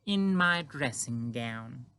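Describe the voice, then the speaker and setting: A man voicing a composed, dignified queen who speaks in a prim, measured way, read aloud from a storybook. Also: mid-range voice, expressive, bright, forceful tone, clean tone, voice pushed up, exaggerated voice. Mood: regal.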